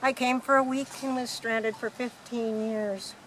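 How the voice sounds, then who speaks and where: A woman's voice: a little high, fairly even intonation, unhurried, a bit bright, slight background noise, rough recording. A woman playing a breezy, knowing galactic hitchhiker in a radio play, explaining things in a matter-of-fact way. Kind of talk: amateur radio play acting.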